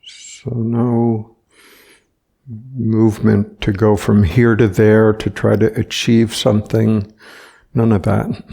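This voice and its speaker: A man leading a guided meditation as a teacher, speaking gently and without hurry, leaving long pauses between short phrases. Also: on the low side, little pitch movement, vocal fry.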